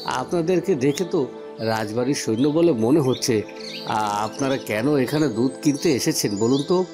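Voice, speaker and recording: Man acting as honest young milk seller, cartoon, good-natured, medium pitch, clear background music. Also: normal speed, lightly acted. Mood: eager. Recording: music and birdsong behind the voice.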